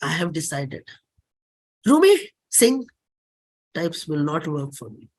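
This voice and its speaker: Indian woman performer for a dramatic play reading; lowish voice, slow, weighty declamatory delivery. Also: very animated, darkish tone, breathy edge. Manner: deliberate, declamatory.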